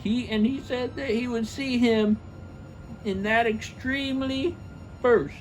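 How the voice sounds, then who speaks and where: A woman with a high voice reads a story aloud. Her narration is theatrical and unhurried, with soft music faintly behind it. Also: steady tone, low-quality recording. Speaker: story reader.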